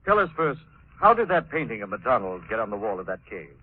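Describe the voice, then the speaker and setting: A husky, assured radio hero. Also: male voice, fairly low pitch, big pitch swings, fast. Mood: confident, probing. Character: assured male crime-fighting hero, wealthy man about town. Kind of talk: 1940s radio acting. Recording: tinny, narrow 1940s radio recording.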